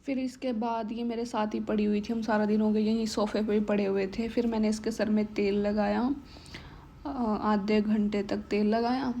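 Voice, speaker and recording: A woman's voice: mid-range voice, flat delivery, normal speed, mellow, slight background noise. A young woman with a sore throat narrating her day in a casual home vlog, chatty and mixing English words into Hindi. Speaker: vlogger.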